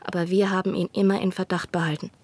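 An actress in a German radio drama playing a desperate young spy in love, speaking with earnest intensity and sometimes a hushed breath. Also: female voice, on the low side, little pitch movement, fast talker, no room sound. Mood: insistent, arguing. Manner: earnest, intense, at times dropping to breathy whispers. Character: desperate female spy in love, pleading and persuading.

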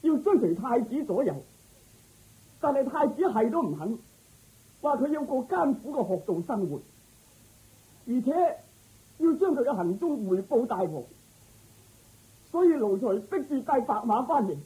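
A woman's voice: fairly high pitch, sing-song, a little slow, pauses often, mellow, breathy edge, exaggerated voice, poor audio. A woman playing a loyal, humble male groom in a radio drama, deferential and pleading toward royal masters. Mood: pleading, fearful.

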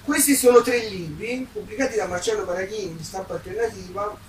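A man talks informally and without a script at a book presentation, rambling a little and hesitating as he checks in with short tag questions. The sound is distant and strongly roomy, with people clearly audible around him. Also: high voice, brisk. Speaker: author presenting his books. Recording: echoey, distant mic, audible crowd.

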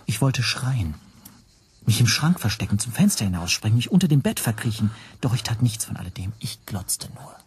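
An actor narrating a radio drama in the first person as an anxious language teacher, hushed and tense. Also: male voice, medium pitch, sing-song, moderate pace, a little hiss or hum. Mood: tense dread. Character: anxious male language teacher recounting his spy troubles.

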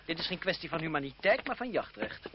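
An actor playing a cynical, witty young French lawyer in a radio drama, with an ironic, condescending, urbane manner. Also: male voice, on the high side, sing-song, quick pace. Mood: mocking, nonchalant. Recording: muffled, hissy old radio drama recording.

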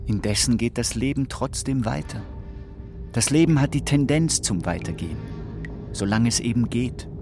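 An actor playing a sombre, resigned man in a literary radio drama, with a gravelly voice. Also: medium pitch, normal speed, darkish tone, faint music behind, close to an everyday voice.

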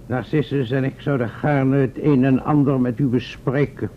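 An actor playing a pompous, wordy town mayor in a radio play, speaking formally. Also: male voice, mid-range voice, fairly even intonation, normal speed, natural voice. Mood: genial, formal. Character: pompous, self-pitying, hesitant town mayor. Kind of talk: old Dutch radio play acting. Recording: muffled, noisy old radio recording.

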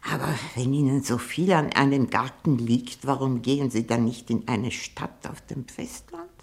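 Creaky, low-voiced imperious old spinster. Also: female voice, expressive. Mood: suspicious, dismissive. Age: older. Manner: slow, halting, haughty and blunt. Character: very old, shrewd, greedy, imperious spinster aunt. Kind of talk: 1960 German radio play acting.

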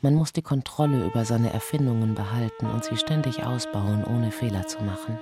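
A man with a gravelly voice reading scripted crime drama narration as the narrator. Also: medium pitch, brisk. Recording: loud music under the voice. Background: music.

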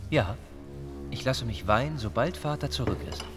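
An actor playing an earnest, courteous young gentleman bound for the church, speaking formally in a radio drama. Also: medium pitch, animated, normal speed, loud music behind the voice, close to an everyday voice. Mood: matter-of-fact. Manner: formal, courteous period speech.

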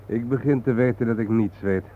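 An actor playing a solemn, reflective male guide to war memorials in a radio play, explaining things gravely. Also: mid-range voice, fairly quick, phone-quality sound, natural voice. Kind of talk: naturalistic radio play acting. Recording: thin, noisy old radio recording.

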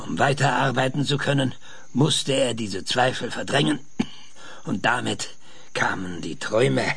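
Radio play casting: frail old scientist, obsessive theorist, badly husky voice with heavy creak. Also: male voice, medium pitch, fairly bright tone, no room sound, exaggerated voice. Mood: brooding, explanatory. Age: older. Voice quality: husky, gravelly. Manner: halting, insistent, theorizing. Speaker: radio drama actor.

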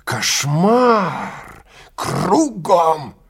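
A man's voice: sits high, sing-song, drawn-out words, husky, voice pushed up, full character voice. A man plays a stern guide in charge of a hunting safari. He barks short orders with firm authority, in the style of a science fiction radio play. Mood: barking anger. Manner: barking curt orders.

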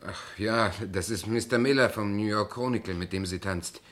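An actor playing a quietly shrewd newcomer to high society, polite and understated, in a German radio play. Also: male voice, moderate pace, close to an everyday voice. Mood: reserved, dry.

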